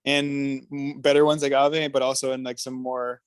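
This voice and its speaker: A man introducing himself casually in a meeting, informal and somewhat halting. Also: mid-range voice, level tone, smooth, dry recording. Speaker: meeting participant.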